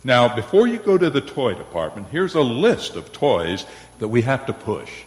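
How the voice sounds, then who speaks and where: A man's voice: medium pitch, huge pitch swings, normal speed. A man playing an effusive, officious department store manager in an old-time radio play. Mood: brisk, officious. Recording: echoey reverberant hall.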